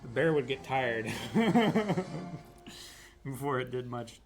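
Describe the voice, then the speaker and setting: A man's voice: medium pitch, very animated, slow pace, faint music behind. A man co-hosting a casual reaction show, wry and laid-back.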